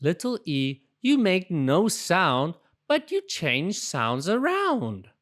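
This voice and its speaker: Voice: medium pitch, huge pitch swings, slow, a bit bright, voice pushed up, heavily acted. A storybook reader voicing childlike little alphabet letters, earnest, exclaiming and easily upset. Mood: excited, amazed. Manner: plaintive, exclaiming.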